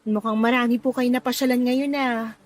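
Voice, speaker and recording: Actress as a chatty young woman receptionist, higher-pitched. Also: steady tone, fairly quick, lightly acted. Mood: polite, friendly. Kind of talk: Tagalog radio drama acting.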